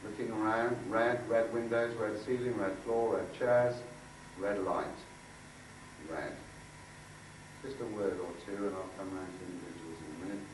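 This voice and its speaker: A man giving an informal workshop talk, halting and conversational, repeating phrases for emphasis. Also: fairly low pitch, fairly even intonation. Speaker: workshop speaker and aura healer. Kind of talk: informal talk with demonstration and guided visualisation. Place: indoor room before a group. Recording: echoey room, distant mic, faint noise.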